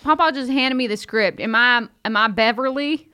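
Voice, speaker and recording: A woman's voice: fairly high pitch, moderate pace, sharp tone, clean tone, no room sound, clean studio sound. A woman trading improvised comic banter on a role-play podcast. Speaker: podcast comedian. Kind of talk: improvised in-character comedy banter.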